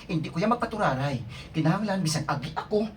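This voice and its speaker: Distant-sounding woman, animated and lowish voice. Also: quick pace. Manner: repeats lines, stumbles and restarts. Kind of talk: rehearsing radio drama lines, with casual chat. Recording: distant mic with faint background noise.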